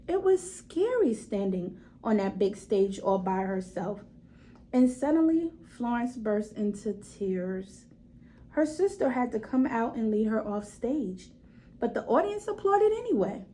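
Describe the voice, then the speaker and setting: A woman reading a picture book aloud to children in an expressive way, stretching words for emphasis and adding asides to her young listeners. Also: fairly high pitch, unhurried, a little hiss or hum, poor audio. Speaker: read-aloud storyteller for children.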